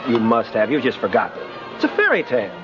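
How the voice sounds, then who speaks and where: A genial man with a lively, swooping delivery on a dull vintage radio recording. He plays a courteous bachelor neighbor, polite and a little hesitant, in 1950s radio drama style. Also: medium pitch, quick pace, over music. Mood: playful, friendly. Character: friendly, courteous bachelor neighbor charming mother and child.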